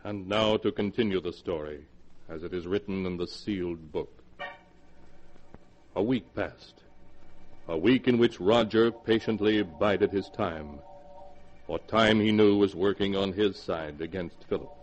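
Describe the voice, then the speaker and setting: Deep grave radio horror narrator. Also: male voice, measured pace. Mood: solemn. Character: grave male narrator of gothic horror tales. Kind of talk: 1940s radio scripted narration. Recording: dull vintage radio recording.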